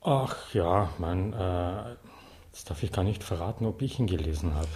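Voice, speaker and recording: A man speaks in a deep, low register with an Austrian accent. He answers as an interview guest, conversational and playfully deadpan, with a wry turn to his phrasing. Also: moderate pace. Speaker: interview guest, artist and self-appointed librarian.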